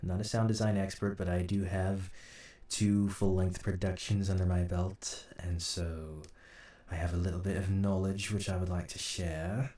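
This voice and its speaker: A deep-voiced man walks through software in an informal tutorial. He thinks aloud in a halting way as he works. The sound is roomy and a little distant from the mic, with a soft, dark tone. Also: flat delivery. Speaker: host, audio drama sound designer. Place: indoor room at a computer. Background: keyboard typing and mouse clicks, faint noise.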